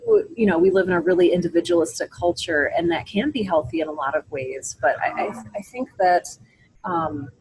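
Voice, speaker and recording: Expressive woman answering interview questions reflectively. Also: on the low side, fairly quick, poor audio. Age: adult. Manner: reflective, explanatory, thinks aloud with quoted asides. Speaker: interview guest. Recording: faint background ambient noise.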